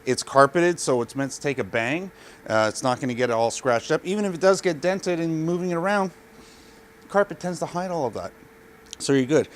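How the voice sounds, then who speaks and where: A man's voice: on the high side, animated, brisk, slight background noise. Guy doing a casual off the cuff gear review, plain spoken and matter of fact, body mic. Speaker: product reviewer. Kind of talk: casual product review, unscripted. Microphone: body pack mic.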